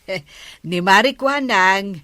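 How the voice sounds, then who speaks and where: A woman's voice: mid-range voice, takes it slowly, bright, forceful tone, dry recording. A woman acting a practical, matter-of-fact village wife in a radio comedy, with an Ilocano accent. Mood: approving.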